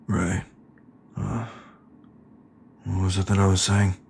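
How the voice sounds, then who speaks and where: A man playing a shaken, weary sergeant who speaks hesitantly and haltingly in a sci-fi drama. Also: low voice, monotone, darkish tone, full character voice. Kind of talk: dramatic sci-fi audio acting. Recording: clear background ambient noise.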